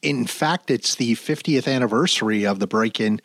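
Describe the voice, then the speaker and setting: A man hosting a podcast, reading out his introduction and questions in a smooth, scripted way. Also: medium pitch, normal speed, fairly bright tone, no room sound. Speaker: podcast host.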